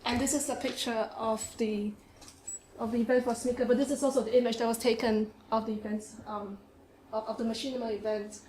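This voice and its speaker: A woman with a Singaporean accent presents her research at a conference, lively, with many "um"s. Her voice sits a little high. The room is echoey, with faint hiss behind her. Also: brisk. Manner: lively, with laughs and fillers. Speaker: conference speaker. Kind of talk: academic presentation. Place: seminar room.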